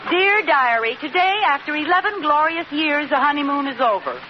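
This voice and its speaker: A woman's voice: high-pitched, moderate pace, lightly acted. An actress playing a scheming, wheedling, melodramatic married woman in an old radio situation comedy, with a theatrical, pleading manner. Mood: mock hurt. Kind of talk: 1940s-50s radio situation comedy acting. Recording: muffled, very noisy old radio broadcast.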